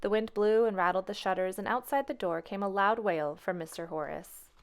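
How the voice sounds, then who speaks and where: A woman storyteller reading a children's tale aloud with expressive narration. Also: medium pitch, a little fast, clear, resonant tone.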